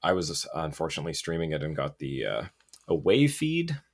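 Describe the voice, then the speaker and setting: A man with a very low voice hosts a loose hockey podcast from Canada. He jokes, swears offhandedly and talks guests up with playful introductions. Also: sing-song, a little fast, slightly rough. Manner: jokey, swears casually, hypes up guests. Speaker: podcast host. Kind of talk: casual sports podcast chat.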